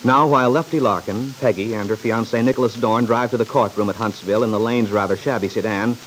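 A man's voice: fairly low pitch, fairly even intonation, quick pace, rough recording. A man narrating an old-time radio soap opera from a script in a formal storytelling manner. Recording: hissy vintage radio recording.

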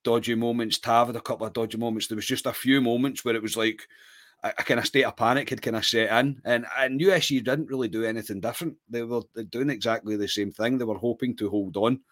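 A man's voice: mid-range voice, fairly even intonation, fast, fairly bright tone, no room sound, clean studio sound. A man with a Scottish accent co-hosting a football podcast, chatting casually after a match, rambling a little and often calling his co-host mate.

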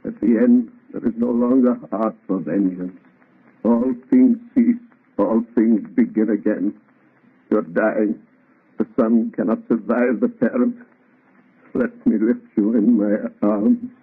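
Mournful man-made monster, drawn-out solemn lines, narrow old radio drama sound. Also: lowish voice, steady tone, slightly rough. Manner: solemn, declamatory, drawn-out phrasing. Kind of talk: 1930s radio drama acting. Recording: muffled, tinny old radio recording.

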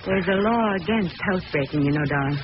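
A woman's voice: lowish voice, animated, fast, close to an everyday voice. An actress playing a sleuth's poised, teasing lady companion with an arch, inquisitive manner in 1940s radio drama style. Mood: anxious, curious. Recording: muffled, noisy vintage radio recording.

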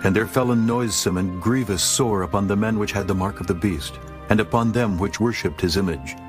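A man's voice: on the low side, brisk, over music. A man narrating a dramatized scripture audiobook in a solemn, formal manner.

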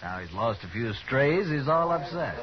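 A man's voice: medium pitch, big pitch swings, moderate pace, natural voice. An American man acting a smug, troublemaking ranch foreman with a sneering, mock-innocent manner in a 1950s radio drama. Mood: sneering, dismissive. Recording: muffled 1950s radio recording, saloon crowd.

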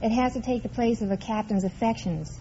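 A woman's voice: on the high side, fairly quick, a little hiss or hum. Woman answering as a radio quiz contestant. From Minnesota. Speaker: quiz contestant, ship's nurse. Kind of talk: answering questions on a quiz show. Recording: dull vintage radio broadcast with loud studio crowd.

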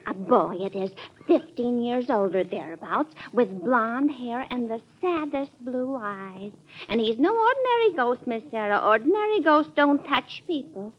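An actress playing an earnest, folksy, superstitious servant who believes in ghosts, in a classic radio drama. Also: female voice, fairly high pitch, expressive, a little slow. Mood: eerie, earnest. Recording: dull old radio recording.

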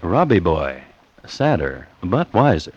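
An actor playing a deadpan, measured host who narrates dryly macabre crime tales on 1950s radio. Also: male voice, low voice, husky, exaggerated voice. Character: storytelling true-crime host, wry and deliberate. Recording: muffled old radio recording.

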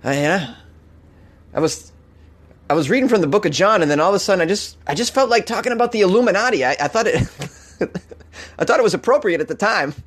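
A dazed, sheepish middle-aged priest, as a man puts him on, halting and rambling. The voice sits higher than usual and the words tumble out fast. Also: expressive.

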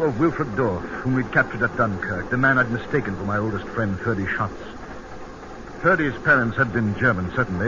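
A brisk, thoughtful British army colonel in a wartime radio drama, with a medium-pitched voice. The old broadcast is dull and thick with noise. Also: male voice. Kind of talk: radio drama narration and dialogue. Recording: noisy, band-limited old radio broadcast.

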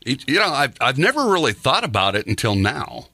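A man's voice: medium pitch, big pitch swings, fairly quick, bright, forceful tone, no echo. A man in his sixties chatting casually as a radio host, rambling with lots of fillers and chuckles. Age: 60s. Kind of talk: casual chat.

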